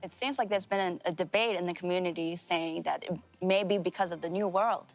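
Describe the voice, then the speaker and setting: A woman interpreting for a game developer in measured, formal phrasing. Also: fairly low pitch, soft background music. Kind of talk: consecutive interpreting.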